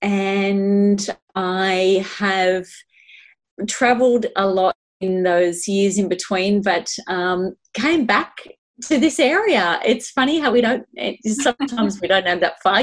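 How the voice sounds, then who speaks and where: Aussie woman in her 50s, casual podcast host chat, medium pitched voice. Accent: Australian. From Melbourne, Australia.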